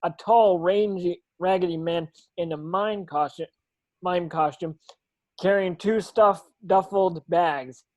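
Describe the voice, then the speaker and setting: A man's voice: high voice, slow, dull audio. A man narrating stage directions from a script at a table read, sometimes tripping over words.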